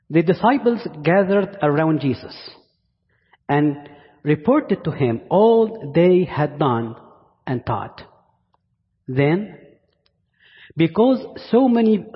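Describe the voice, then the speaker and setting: Slow Egyptian-accented male preacher in a reverberant hall. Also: on the high side. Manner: deliberate, with pauses and repeated phrases for emphasis. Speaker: guest preacher. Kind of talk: sermon to a congregation. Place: large indoor church space. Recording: muffled, reverberant church hall sound.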